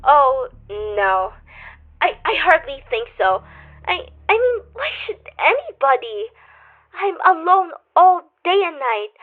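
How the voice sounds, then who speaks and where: Radio play: actress as high-strung invalid wife, very high voice, demanding and dramatic, thin telephone-grade sound. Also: female voice. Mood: flustered, defensive. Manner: insistent, dramatic, stammering when alarmed. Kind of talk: old-time radio suspense drama acting. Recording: tinny, phone-like radio drama audio.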